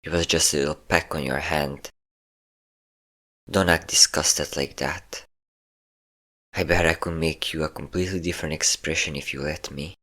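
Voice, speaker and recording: Man playing a deep-voiced, teasing male vampire. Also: steady tone, bright, forceful tone, full character voice. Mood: amused, flirtatious. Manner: taunting, flirtatious, slow endearments. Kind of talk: intimate roleplay audio acting.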